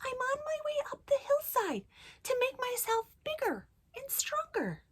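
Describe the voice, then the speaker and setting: A woman voicing the tiniest young male billy goat in a storybook, timid, with a very small, breathy voice. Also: extremely high pitch, wildly swooping pitch, a little slow, voice pushed up, exaggerated voice. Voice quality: very small, breathy, half-whispered voice.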